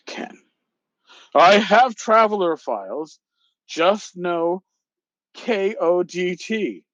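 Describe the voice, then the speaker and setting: A man hosting a podcast, talking casually and reading aloud in an emphatic, theatrical, sometimes halting way, on a slightly lo-fi recording. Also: a little high, no room sound. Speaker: podcast host. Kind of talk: casual talk with dramatic reading of emails aloud.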